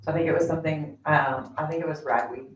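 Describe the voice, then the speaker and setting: A woman's voice: low-pitched, fairly even intonation, fast talker. Woman chatting in a very echoey room. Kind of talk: casual chat. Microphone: distant room microphone. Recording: very echoey room, distant mic, faint noise.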